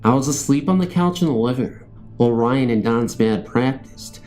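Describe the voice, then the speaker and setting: A man's voice: mid-range voice, expressive, moderate pace, a little mellow, faint music behind. A man reading a scripted first-person ghost story as a narrator. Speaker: narrator of true ghost stories.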